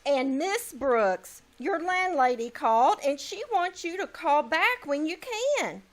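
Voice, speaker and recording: A woman's voice: high voice, animated, slow pace. A woman playing a guileless, chirpy teenage schoolgirl in an old-time radio comedy on stage. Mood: chirpy, helpful. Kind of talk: old-time radio comedy acting before a live audience. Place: live stage in a small-town theater.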